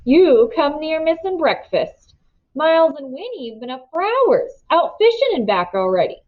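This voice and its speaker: A woman's voice: high voice, big pitch swings, normal speed, phone-quality sound, pitched above the performer's own voice. A woman voicing a fond but firm country mother with folksy, rustic speech in a storybook reading. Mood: fond teasing. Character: fond, firm rural mother presiding over breakfast.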